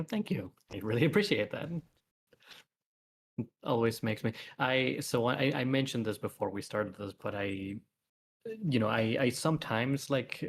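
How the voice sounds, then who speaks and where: Lower-pitched man chatting casually. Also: a little hiss or hum. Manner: rambling, self-effacing, earnest. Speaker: guest comic author and artist. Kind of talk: casual livestream chat.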